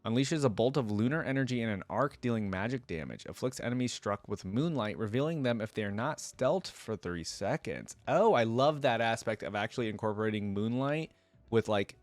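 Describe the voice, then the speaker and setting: A man's voice: medium pitch, lively intonation, brisk, no echo. Casual man reacting, dry close sound. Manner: easily impressed, thinking out loud. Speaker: host of a game reaction channel. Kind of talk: casual reaction commentary, reading ability descriptions aloud.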